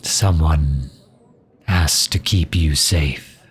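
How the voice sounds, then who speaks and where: Man acting a deep, husky, sardonic mage. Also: extremely slow, full character voice. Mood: weary, reluctant. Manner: dry, grumbling, sharp-tongued, with hesitant breaks. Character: sardonic, loyal male mage advisor to a demon ruler. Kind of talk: intimate ASMR roleplay acting.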